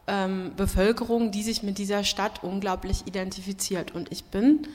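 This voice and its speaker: A woman's voice: mid-range voice, little pitch movement, normal speed, darkish tone. Female panelist from Berlin, mid-range voice, impassioned political debate delivery, recorded in a reverberant hall. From Berlin, Germany. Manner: opinionated, emphatic. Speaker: panel speaker. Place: large hall at a public event.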